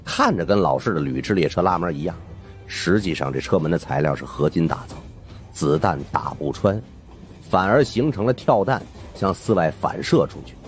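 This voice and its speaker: A man's voice: low-pitched, lively intonation, moderate pace, faint music behind. A man narrating a scripted first-person audiobook story in a colloquial storyteller's manner.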